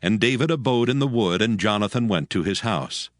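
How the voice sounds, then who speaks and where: Deep-voiced, animated male scripture narrator. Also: no room sound, studio quality. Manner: solemn, measured storytelling. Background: faint background music.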